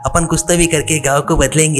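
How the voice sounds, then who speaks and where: A man's voice: fairly high pitch, fairly even intonation, fairly quick, pitched above the performer's own voice, natural voice. A man voicing an eager young village friend in a moral story, full of enthusiasm. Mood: enthusiastic. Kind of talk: acted dialogue in a narrated moral story.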